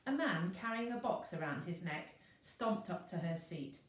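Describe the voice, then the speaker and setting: A woman, a teacher, reading a children's novel aloud in an expressive storytelling style. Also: fairly low pitch, moderate pace. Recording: roomy echo, distant microphone.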